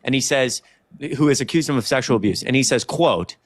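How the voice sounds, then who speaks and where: A man's voice: medium pitch, expressive, a little fast, dry recording, studio quality. A man in his early twenties debating heatedly on a live stream, emphatic and confrontational, with frequent swearing. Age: early 20s.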